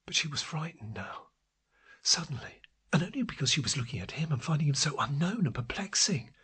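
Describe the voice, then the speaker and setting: A man with a husky, breathy voice narrating a literary story in a deliberate, dramatic way. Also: a little high, big pitch swings, a bit bright.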